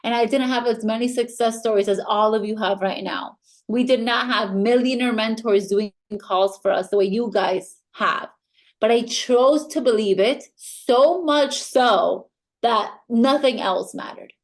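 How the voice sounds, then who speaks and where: A woman's voice: on the high side, a little slow. A woman with a foreign accent giving a motivational training talk as a team mentor, emphatic and insistent.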